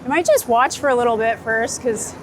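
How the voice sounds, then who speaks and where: An American woman with a slightly high voice talks in a relaxed, conversational way. She is chatty and laughs easily, like someone being interviewed on the go. There is light city and vehicle noise behind her. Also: brisk, rough recording. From United States, Florida. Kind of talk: casual chat while out and about. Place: on location in a city, rooftop, car and street.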